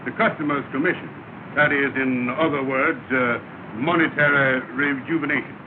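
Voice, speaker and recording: An actor playing a scheming lodge leader, persuasive and grandly wordy, in a 1930 comedy film. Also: male voice, medium pitch, fairly even intonation, moderate pace, close to an everyday voice. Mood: wheedling. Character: smooth-talking, scheming lodge leader, a small-time swindler. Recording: tinny, noisy 1930 film soundtrack.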